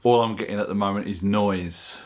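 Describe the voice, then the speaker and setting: A man's voice: lowish voice, fairly even intonation, fairly quick, a little mellow. A man presenting his own video in a chatty, informal, plain-spoken way, explaining things casually to camera. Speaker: video channel host.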